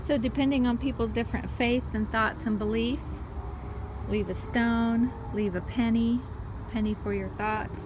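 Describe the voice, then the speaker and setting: A woman's voice: fairly high pitch, fairly even intonation, normal speed, noisy background. A middle-aged woman narrating a casual outdoor vlog like a tour guide. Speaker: family vlogger and tour guide. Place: outdoors and in a car at a cemetery.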